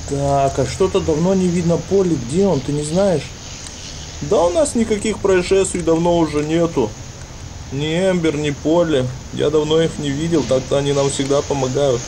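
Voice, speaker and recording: A man's voice: a little high, normal speed, a little hiss or hum, poor audio. A man casually improvising dialogue while playing with toy cars indoors, recorded on a camera mic at the table. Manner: casual, chatty, lively voice changes. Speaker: toy video host.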